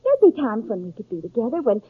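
Earnest young bride-to-be, American, hesitant, high husky voice, 1950s radio western, muffled old recording. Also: female voice, huge pitch swings, fast, no echo, full character voice. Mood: earnest, hopeful.

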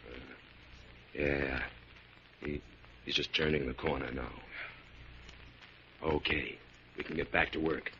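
An actor playing a bossy, gruff ringleader crook with slangy tough-guy talk in a 1940s radio crime drama. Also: very deep voice, steady tone, slow pace, long pauses. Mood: low, businesslike. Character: hard-boiled bossy male crook, scheming ringleader. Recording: dull, hissy 1940s radio recording.

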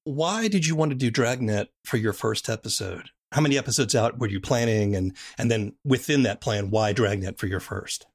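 A man's voice: fairly low pitch, normal speed, few pauses, slightly rough. A man hosting a casual interview, asking questions and commenting conversationally.

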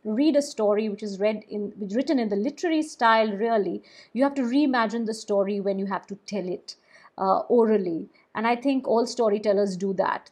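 A woman's voice: mid-range voice, a little mellow, slightly rough. Bengali-speaking woman storyteller, thoughtful. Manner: thoughtful, with small restarts. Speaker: storyteller and podcaster. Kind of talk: casual podcast discussion.

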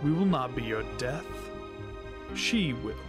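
Slow-spoken, cold alien mystic. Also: male voice, medium pitch, expressive, over music. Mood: cold menace. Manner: stilted, formal, cryptic pronouncements. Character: cold fanatical male alien mystic captor, solemn. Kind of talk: audio drama acting.

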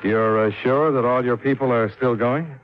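A man's voice: mid-range voice, moderate pace, natural voice. A man playing a shrewd private investigator in a 1950s radio drama, speaking in a clipped, dry, matter-of-fact way. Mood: casual. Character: shrewd, wry hard-boiled male private investigator. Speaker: narrator and lead of radio detective drama. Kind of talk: 1950s radio acting, hard-boiled narration. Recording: muffled 1950s radio recording with music.

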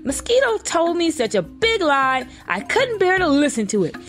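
Storybook iguana, male, innocent and indignant, emphatic high delivery. Woman reader performs. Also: very animated, moderate pace, faint music behind. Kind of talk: storybook character reading.

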